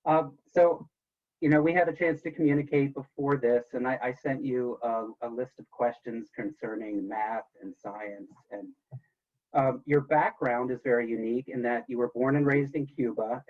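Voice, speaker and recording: A man hosting an interview as a teacher, with a husky voice and an enthusiastic, show-style delivery. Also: mid-range voice, steady tone, normal speed. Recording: tinny, phone-like call audio.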